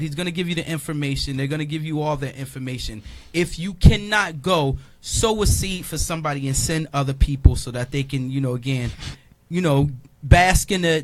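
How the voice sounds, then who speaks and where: A man's voice: on the high side, no echo. A man hosting a casual radio interview, who repeats his words and urges listeners on in slangy talk. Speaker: radio show host.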